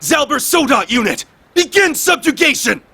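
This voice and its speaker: An actor playing an arrogant, contemptuous enemy military commander in a video game. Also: male voice, very high voice, very animated, moderate pace, pushed and bright, heavily acted. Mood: scornful, commanding. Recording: clear battle and vehicle noise behind.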